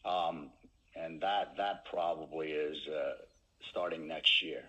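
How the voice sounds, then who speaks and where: A man with a very low, bright-edged voice answers as a company executive on an earnings call. His delivery is slow and halting, broken by frequent hesitant pauses. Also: low-quality recording.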